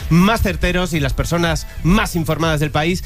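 Guy hosting a radio quiz, kinda high voice, jokey asides. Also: faint music behind. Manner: quick joking asides, playful. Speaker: quiz show host. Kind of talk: comedic radio quiz banter.

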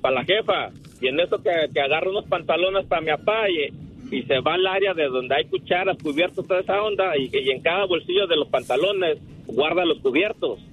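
High-voiced Mexican man calling a radio show. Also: expressive, fairly quick, lo-fi sound, slight background noise. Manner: hesitant, repeats words. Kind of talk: casual anecdote telling.

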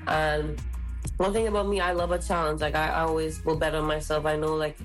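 A woman's voice: deep voice, little pitch movement, fairly quick, faint music behind, poor audio. A young woman from the Caribbean, a singer, talking casually and confidently in an interview, full of "like" and "you know". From Trinidad and the Caribbean islands. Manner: bubbly, heavy use of "like", confident. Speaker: singer guest.

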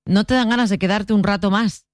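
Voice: medium pitch, fairly even intonation, fairly quick, no echo. Curious interviewer. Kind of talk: radio interview.

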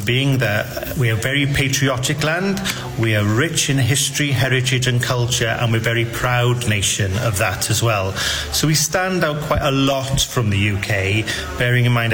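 A man with a Welsh accent, speaking earnestly and proudly as a radio interview guest, with some fillers. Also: mid-range voice. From Wales. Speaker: tourism guest.

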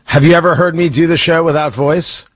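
A man's voice: on the high side, steady tone, a little fast, no room sound. A man hosting a morning radio show, talking in a joking, teasing, chatty way. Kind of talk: casual morning radio banter.

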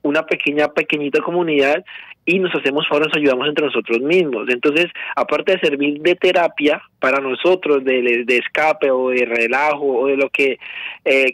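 A man's voice: on the high side, a bit bright, dry recording, poor audio. A man, a salsa teacher, answering as a guest in a radio studio interview in an earnest storytelling way, often repeating words.

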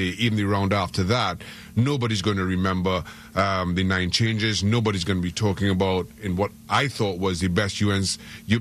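A man with a fairly low voice gives soccer analysis on a radio show. He is middle-aged and from Trinidad and Tobago. He speaks earnestly and stumbles over repeated words. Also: a bit bright, a little hiss or hum. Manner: earnest, frequent stammered repeats.

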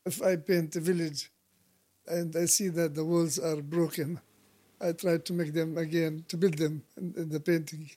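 An elderly man with a Palestinian Arabic accent recalls his life in an interview. His voice is mellow and relaxed, with little edge to it. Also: a little high, moderate pace. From West Bank. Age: 70s. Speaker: painter being interviewed. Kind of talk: reflective interview recollection. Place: recorded at home.

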